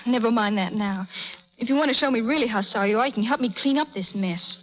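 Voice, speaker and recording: A woman's voice: a little high, fast, loud music behind the voice, natural voice. An actress playing a young, spirited, impulsive working girl in an old radio drama. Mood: softened, admiring. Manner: impulsive, emotional. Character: young, spirited, hot-tempered but innocent working girl. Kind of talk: 1930s radio drama acting. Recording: muffled vintage radio broadcast.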